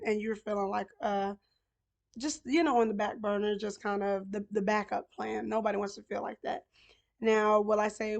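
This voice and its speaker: A middle-aged woman recapping a reality show in casual chat, hoarse from losing her voice, talking straight to the contestants. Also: mid-range voice. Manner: chatty, talks straight to contestants. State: hoarse, lost voice.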